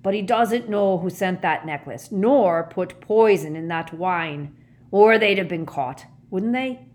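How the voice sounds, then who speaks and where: A woman voicing a huge, shaggy, anxious man with a hoarse growl and slow rustic speech in a storybook. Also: on the low side, darkish tone, natural voice. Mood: worried, secretive.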